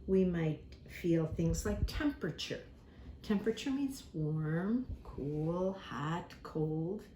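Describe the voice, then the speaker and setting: A woman giving a simple lesson to young children, speaking deliberately, repeating key words and acting out examples. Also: deep voice. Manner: animated, acts out examples with props. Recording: echoey room with faint background noise.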